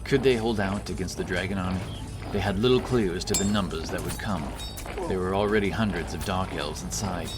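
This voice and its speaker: A man narrating a scripted fantasy audiobook in a measured, dramatic way. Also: low-pitched. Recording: music bed under voice.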